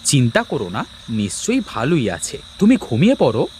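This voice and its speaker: A man's voice: mid-range voice, wildly swooping pitch, moderate pace, darkish tone, slight background noise. A man playing an easygoing, reassuring husband in a cartoon family drama. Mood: soothing.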